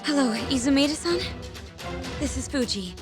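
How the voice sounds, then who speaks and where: High, expressive young woman. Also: normal speed. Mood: composed. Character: feisty, bewildered young woman targeted by gangsters. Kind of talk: English video game cutscene acting. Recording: music behind the voice.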